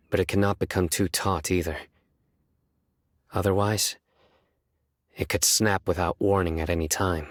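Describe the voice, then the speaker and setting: A man voicing a serious, stoic young lawman in a video game, earnest and deadpan with a measured way of talking. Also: fairly low pitch, lightly acted. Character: serious, stoic young male lawman who earnestly tells bad jokes. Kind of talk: video game character voice acting. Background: music.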